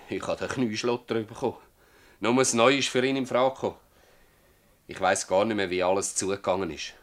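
Actor as gruff old Swiss German farmer, low-voiced. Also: male voice, close to an everyday voice. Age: older. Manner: emphatic, halting, earthy testimony. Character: gruff old Swiss farmer, stubborn, defensive, worn by toil. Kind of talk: dialect radio drama acting.

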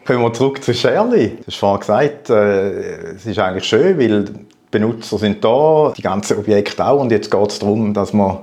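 Man, Swiss German accent, somewhat low pitch, podcast interviewer, casual conversational questions. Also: animated, normal speed, darkish tone.

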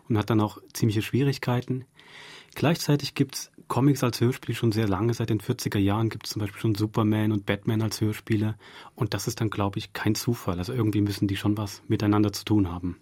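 A man's voice: lowish voice, a little mellow, dry recording. A male radio drama director explaining things informally in a studio interview.

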